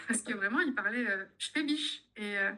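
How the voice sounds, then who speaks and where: A young woman from France, a physiotherapist chatting in an interview and telling lively anecdotes. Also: mid-range voice, a little slow. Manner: chatty, tells anecdotes with laughs. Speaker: guest, physiotherapist. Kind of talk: casual interview chat.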